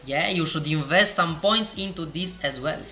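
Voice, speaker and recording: Female co-host with a lowish, bright and forceful voice, steady, reads tips. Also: normal speed. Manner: steady, reads tips, brief agreeing replies. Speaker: co-host of a gaming tips show. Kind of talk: casual scripted gaming chat.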